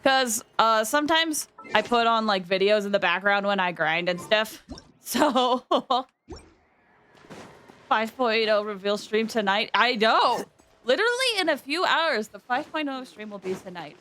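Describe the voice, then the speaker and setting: A woman's voice: fairly high pitch, lively intonation, measured pace, bright, forceful tone. A woman hosting a game livestream, chatting casually and informally with viewers, often drifting into asides.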